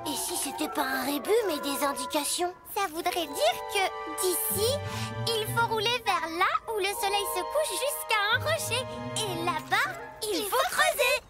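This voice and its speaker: Eager little child truck, children's cartoon. Extremely high, curious, excitable, over prominent music. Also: moderate pace. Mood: puzzling.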